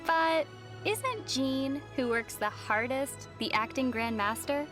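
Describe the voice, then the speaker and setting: A woman playing a gentle, thoughtful young female traveler from another world in scripted anime game dialogue. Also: sits high, slow, music in the background. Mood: puzzled, gently teasing.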